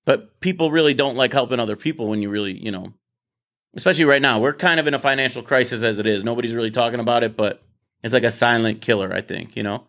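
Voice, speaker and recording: Guy hosting a chat show, blunt and swears a lot, stumbles over his words, mid pitch. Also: fast talker, fairly bright tone. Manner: blunt, swears, repeats words when starting sentences. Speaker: podcast host. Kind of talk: casual panel debate. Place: his office.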